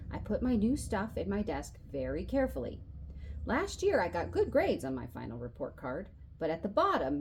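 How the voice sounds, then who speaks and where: Lively, low-pitched woman reading a storybook. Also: creaky, slight background noise, rough recording. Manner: animated, acts out dialogue. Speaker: library storyteller reading a children's novel aloud. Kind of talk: expressive scripted storybook narration as a boy narrator.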